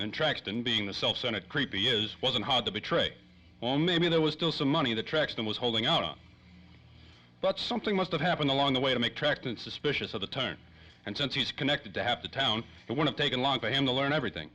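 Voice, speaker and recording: A man plays a hard-boiled radio-play detective with a bright, forceful voice. He talks fast and clipped, a wary wisecracker who wants straight answers. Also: medium pitch. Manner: clipped, wisecracking tough-guy talk. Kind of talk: 1930s old-time radio drama acting.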